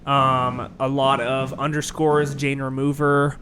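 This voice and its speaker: A young man with a high register voice, a musician talking through his influences in a casual interview, rambling with lots of fillers and restarts. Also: flat delivery, takes it slowly, fairly bright tone. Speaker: guest musician.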